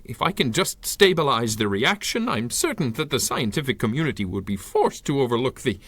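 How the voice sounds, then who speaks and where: Flustered old scientist in a radio play, grand formal talk, pitch all over, stammers. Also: male voice, big pitch swings, fairly quick, fairly bright tone, dry recording. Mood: flustered, pleading. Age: older. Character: eccentric, earnest old scientist, pleading and proud. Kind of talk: 1930s-style radio drama acting.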